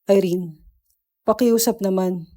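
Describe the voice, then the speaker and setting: A woman voicing a weary, mild-mannered older brother, gently pleading in a dramatized story. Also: medium pitch, steady tone, slow pace, lightly acted. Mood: gentle pleading.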